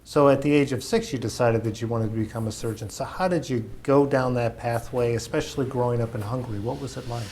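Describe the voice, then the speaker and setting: A man's voice: mid-range voice, fast talker, hardly any pauses, darkish tone, a little hiss or hum. Polite man interviewing, mid-range voice. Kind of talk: oral-history interview questions.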